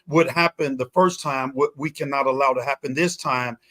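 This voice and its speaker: A man's voice: mid-range voice, steady tone, normal speed, pushed and bright. A man in a conversational political talk show discussion, speaking emphatically and repeating phrases for weight.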